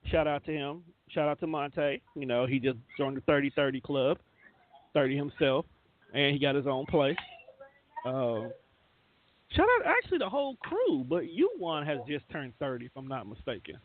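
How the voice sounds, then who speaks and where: A man with a fairly high voice hosts a casual radio show. His delivery is informal and full of slang, and the sound is narrow, as if coming through a phone. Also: sing-song, normal speed, a little hiss or hum.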